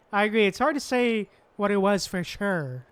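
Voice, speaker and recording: A woman's voice: mid-range voice, animated, unhurried, clean tone. A woman streaming a game with casual commentary in a giggly, slangy manner.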